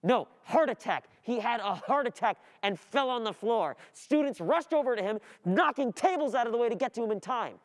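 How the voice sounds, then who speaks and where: A young adult performer playing an overwhelmed, distracted student in a halting, agitated radio play monologue. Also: medium pitch, expressive, lightly acted. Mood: alarmed.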